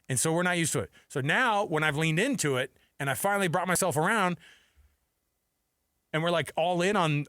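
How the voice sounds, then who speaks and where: A man's voice: a little high, fairly bright tone, no room sound, clean studio sound. An American man hosting sports talk radio, giving opinionated commentary in an emphatic, exasperated, conversational way. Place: radio studio.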